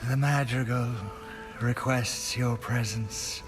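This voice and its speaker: An actor playing a solemn male messenger in a fantasy game, formal and measured. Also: medium pitch, fairly even intonation, natural voice. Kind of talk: dramatic fantasy game acting. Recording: music behind the voice.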